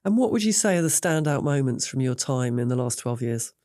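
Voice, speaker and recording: A woman hosts a business interview, moving briskly from one question to the next. Her voice sounds dry and close, with no room echo. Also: extremely low pitch, animated, mellow. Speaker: host.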